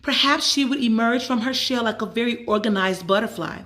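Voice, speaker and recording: A woman reading a children's story aloud as a storyteller, with measured, expressive phrasing and dramatic emphasis. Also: on the high side. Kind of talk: expressive scripted story narration.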